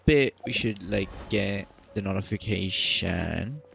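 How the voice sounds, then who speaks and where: A man's voice: on the low side, takes it slowly, vocal fry, a bit bright, a little hiss or hum. A man giving casual let's-play commentary, rambling chattily and reacting aloud. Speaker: gaming streamer. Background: faint game music.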